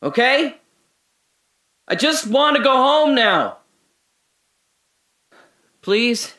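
A rambling young man alone in the dark. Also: a little high, exaggerated voice. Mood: pleading. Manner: restless, talking to himself, swings from hushed to loud. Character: lone young man trapped in darkness, rambling. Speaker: radio drama actor. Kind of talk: solo audio drama monologue.

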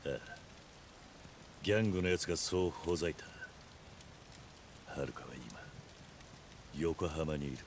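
An actor playing a stoic, tough yakuza hero in a video game, speaking in a terse, grave manner. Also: male voice, very deep voice, unhurried, long pauses, slight background noise. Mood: grim, urgent. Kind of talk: dramatic video game acting. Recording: music behind.

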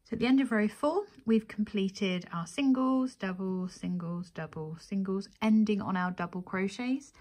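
A woman's voice: mid-range voice, moderate pace, hardly any pauses, a little mellow. A woman presenting a craft tutorial, giving methodical step-by-step instruction and counting as she goes.

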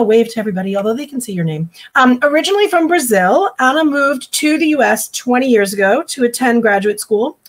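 A woman editor from the Boston area moderating a live online panel from home, introducing the guests with lots of "um" and chatty asides over a laptop mic. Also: on the high side. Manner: warm, chatty introductions with frequent "um". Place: home room. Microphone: laptop webcam mic on a video call.

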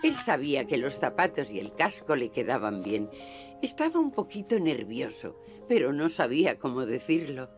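A gentle woman storyteller narrates for small children in Castilian Spanish. Her voice is very low for a woman, with lively, expressive intonation. Music plays clearly underneath. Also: normal speed. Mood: gentle, concerned.

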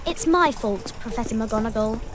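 A woman's voice: a little high, moderate pace, natural voice. An actress playing an earnest, articulate, bookish young schoolgirl witch in a video game. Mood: earnest confession.

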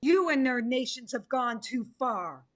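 A woman's voice: high voice, animated, measured pace. A woman voicing a stern, wrathful tigress in a play reading, with a commanding, declarative manner. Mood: angry. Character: stern, wrathful female tiger who leads the council. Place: home room, video call.

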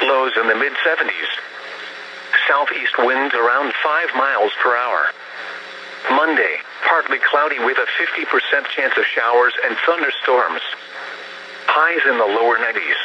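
A synthesized male voice reading a scripted weather bulletin in a formal, segmented, impersonal way, as an automated radio announcer. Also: mid-range voice. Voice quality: synthesized text-to-speech voice. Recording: muffled weather radio broadcast, loud noise.

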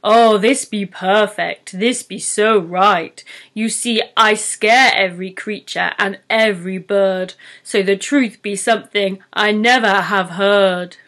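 A woman voicing a giant, greedy, boastful male troll with gruff, bullying talk and broken rustic grammar, in a storybook reading. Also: mid-range voice, steady tone, slow, fairly bright tone.

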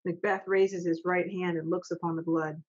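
A woman's voice: fairly low pitch, flat delivery, moderate pace, a little mellow. A middle-aged woman acting as stage manager, plainly reading out script directions over a video call. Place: home office, indoors. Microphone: computer mic on a video call. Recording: dull video-call audio.

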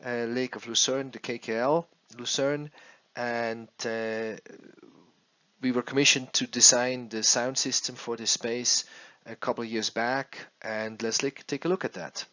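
A man working as an acoustics consultant explains a case study over slides in a halting way, repeating words as he goes. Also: medium pitch, steady tone, pushed and bright, highs cut off. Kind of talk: slide presentation, explanatory narration.

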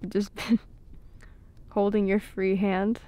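A woman playing an affectionate, playful young girlfriend in an intimate, soft-spoken ASMR roleplay, with hesitant pauses. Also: medium pitch, monotone, dull audio. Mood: affectionate, shy. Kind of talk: intimate ASMR roleplay, scripted but natural.

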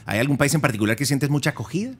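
A man co-hosting a radio show, asking questions politely and apologizing quickly. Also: mid-range voice, breathy edge. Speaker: radio co-host. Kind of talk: casual radio chat. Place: radio studio. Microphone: studio mic, headphones.

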